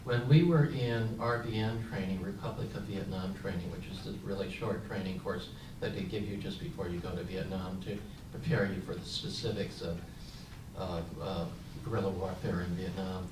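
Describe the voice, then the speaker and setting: An older man shares a personal story as a veteran on a panel, pausing often with fillers and restarting his sentences. His voice sits fairly low, and the mic catches him from some distance. The room is echoey, and a clear layer of background noise runs under his speech. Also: steady tone, darkish tone. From San Francisco, United States. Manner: reflective, with frequent fillers and restarts.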